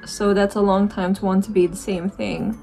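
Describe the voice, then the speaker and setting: A young Romanian-accented woman talks to camera in a relaxed, meandering way as she does her makeup. Her voice has a noticeable gravelly fry. Faint music plays softly underneath. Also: medium pitch, moderate pace. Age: 20s. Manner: reflective, rambling, many fillers and restarts. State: dry throat. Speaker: beauty vlogger. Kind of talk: casual chat while doing makeup.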